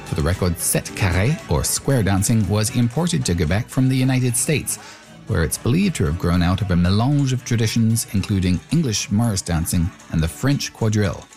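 A man hosting and narrating an interview segment in a measured, explanatory way. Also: on the low side, animated, darkish tone, soft background music. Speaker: host.

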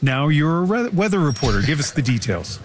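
A male news anchor, introducing stories and passing to reporters in a measured way. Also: a little high, very animated, noisy background. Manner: measured, with occasional fillers. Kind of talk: newscast anchoring.

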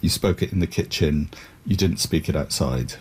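A man's voice: extremely low pitch, normal speed, husky. A middle-aged man, talking reflectively and articulately as an interview guest, in a thoughtful storytelling way. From South Wales.

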